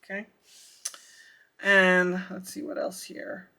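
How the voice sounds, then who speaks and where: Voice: medium pitch, completely flat delivery, slow, vocal fry, pushed and bright. A reviewer giving a casual product demonstration, thinking aloud in a hesitant way. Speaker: radio reviewer.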